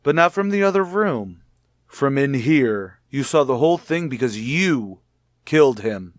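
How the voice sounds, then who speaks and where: A man's voice: medium pitch, expressive, a little slow, dry recording, studio quality, natural voice. A man playing a shrewd, stern police lieutenant with a probing, sardonic manner in a radio mystery drama. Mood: sardonic, accusing.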